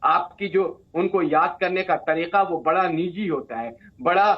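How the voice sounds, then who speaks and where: A man's voice: high-pitched, a little slow, breathy edge. A man from India speaking as a party spokesperson in a debate, explaining his position at length over a narrow feed. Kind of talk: unscripted explanatory debate talk.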